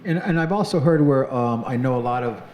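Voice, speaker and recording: A man hosting a talk show, interviewing a guest in an earnest, halting way with many restarts. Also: mid-range voice, lo-fi sound, a little hiss or hum. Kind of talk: conversational interview.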